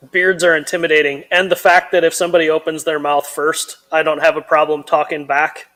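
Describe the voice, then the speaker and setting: A man's voice: a little high, flat delivery, moderate pace, a bit bright. A man co-hosting a live stream in casual chat, laid-back and blunt, chuckling often.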